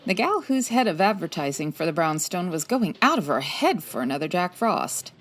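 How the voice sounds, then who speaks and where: Woman acting as a lively, low-voiced wry lady detective. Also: normal speed, slight background noise. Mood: coy, teasing. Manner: wry, teasing, arch. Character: wry, quick-witted 1940s female private detective. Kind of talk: comedy audio drama acting, old-time radio detective.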